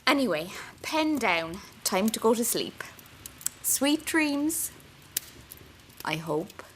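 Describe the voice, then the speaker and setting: A woman's voice: fairly high pitch, very animated, slow pace, a bit bright, a little hiss or hum, full character voice. A woman with an Irish accent playing an anxious, wry young intern confiding in her diary in an audio drama. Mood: uneasy, wry. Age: young adult. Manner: intimate, wry, self-mocking.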